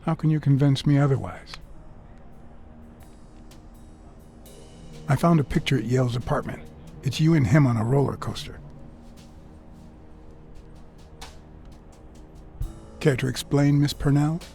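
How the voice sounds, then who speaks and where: An actor playing a hard-boiled private detective, a wry, brooding cat who speaks in measured, terse phrases in a film noir game. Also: male voice, medium pitch, warm tone, close to an everyday voice. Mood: probing. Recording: music behind the voice.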